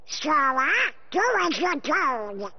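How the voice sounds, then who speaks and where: A man's voice: very high-pitched, lively intonation, slow, lo-fi sound, exaggerated voice. A man voicing a feisty, bossy cartoon duck in a video game, with garbled, quacking speech. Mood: bossy urging.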